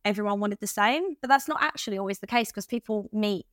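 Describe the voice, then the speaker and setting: A woman's voice: mid-range voice, fast talker, a bit bright, dry recording. Brief: British woman, podcast guest, easygoing and chatty, dry close sound. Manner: chatty, laughs easily. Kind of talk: casual chat.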